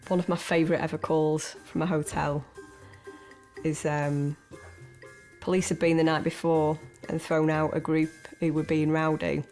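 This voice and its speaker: Lower-pitched woman police call handler. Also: little pitch movement, normal speed, a little mellow, faint music behind.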